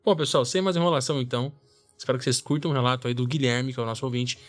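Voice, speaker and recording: A Brazilian man speaks as a podcast host in a casual, conversational way. His voice sits at a medium pitch, with some music playing underneath. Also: fairly even intonation, rapid-fire. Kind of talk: casual chatty podcast introduction.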